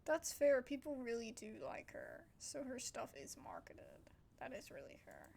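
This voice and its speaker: A woman's voice: sits high, moderate pace, gravelly, in a whisper. A woman giving casual playthrough commentary as a gaming streamer. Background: game music.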